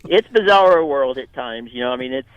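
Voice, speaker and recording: A man with a mid-range, dry, close-sounding voice comments on sports as a radio guest. He speaks casually and haltingly, circling back over words as he thinks. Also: medium pitch, animated, clear, resonant tone, dry recording, muffled recording. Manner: halting, searching for words, repeating.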